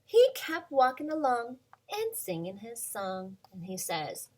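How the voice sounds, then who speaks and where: A woman who is a preschool teacher, reading a picture book aloud to young children at home in a playful, expressive way, asking questions and sometimes whispering. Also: on the high side, unhurried.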